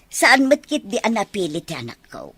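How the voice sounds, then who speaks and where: A woman playing an affectionate, excitable older mother in an Ilocano audio drama, speaking expressively. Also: mid-range voice, normal speed, slightly rough, heavily acted. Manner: expressive, exclamatory.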